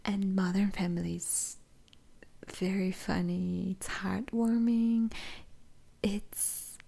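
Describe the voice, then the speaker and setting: A woman's voice: mid-range voice, level tone, slow, gravelly, warm tone. A woman whispering close to the mic in a casual ASMR chat, giggling often and rambling with fillers.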